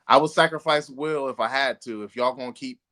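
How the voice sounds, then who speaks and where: A man's voice: mid-range voice, brisk, sharp tone. An American man co-hosting a casual podcast among friends, speaking emphatically and often repeating himself for effect. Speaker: podcast co-host.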